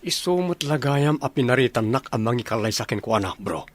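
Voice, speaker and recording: A man's voice: medium pitch, animated, fairly quick, lightly acted. A man playing a concerned adult son returning home to his mother in a radio drama. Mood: puzzled. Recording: vehicle noise behind voice.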